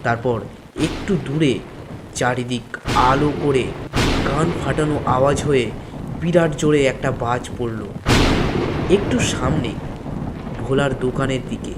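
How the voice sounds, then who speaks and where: A man's voice: mid-range voice, unhurried, pauses often, heavy background noise. A man reading a scripted village story as its narrator, in storytelling style. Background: background music.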